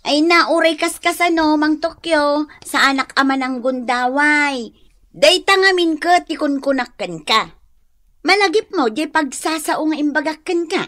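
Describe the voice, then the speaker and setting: A kind-hearted village shopkeeper who chides and exclaims. Her voice is very high and bright, with a Filipino accent, in the style of radio drama. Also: unhurried. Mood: kindly advising. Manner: chiding, exclamatory, motherly advice-giving. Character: Isang, scolding but kind-hearted village shopkeeper woman. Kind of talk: Ilocano radio drama acting.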